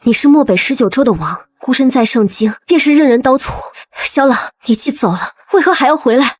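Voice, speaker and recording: A woman playing a composed, resolute young noble lady in a dubbed animated drama, speaking in deliberate, formal phrases. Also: sits high, breathy edge, no room sound. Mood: probing, guarded.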